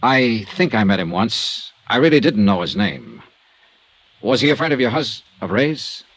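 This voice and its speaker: An American actor playing a cool, guarded freelance investigator in a 1950s radio drama, clipped and understated. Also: male voice, on the low side, sing-song, moderate pace, a bit bright, dry recording. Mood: polite, guarded. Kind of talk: 1950s radio detective acting with first-person narration.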